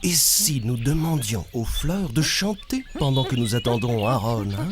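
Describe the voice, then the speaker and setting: A friendly grown-up storyteller in a children's cartoon. His voice rises and falls with animation as he tells stories. Music plays clearly underneath. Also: mid-range voice, a little slow. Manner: warm, lively storytelling. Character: friendly adult male host, Kingsley.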